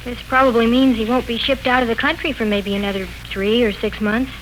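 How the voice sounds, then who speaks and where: A woman plays a mild, tactful young family member in a 1940s radio drama. She has a rather high voice and a thoughtful manner, with faint noise from the aged recording. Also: steady tone, a little fast, natural voice, rough recording. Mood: light, friendly. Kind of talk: 1940s radio serial acting.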